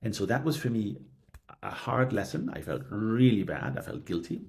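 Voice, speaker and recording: A middle-aged man speaking earnestly as a podcast guest, halting with frequent restarts and a habitual "yeah". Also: lowish voice, animated, gravelly, darkish tone. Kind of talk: reflective conversational interview.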